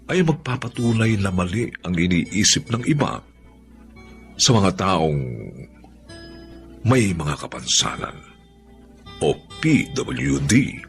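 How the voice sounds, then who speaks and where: Filipino guy narrating radio drama, solemn, slow, big swings in tone, soft music under. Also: deep voice, very animated. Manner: solemn, theatrical.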